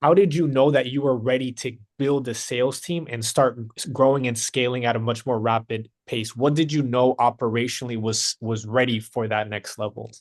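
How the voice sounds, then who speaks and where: A man interviewing a guest as a business consultant, summarizing answers and asking long, multi-part questions in a measured way. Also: medium pitch, fairly even intonation.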